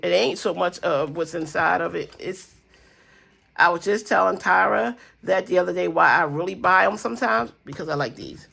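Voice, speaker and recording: A woman's voice: on the low side, normal speed, creaky, a bit bright, husky. A woman with a stuffy nose chatting casually to viewers about her budget, rambling with fillers and calling them guys and y'all. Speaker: budgeting vlogger. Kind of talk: casual chat to camera.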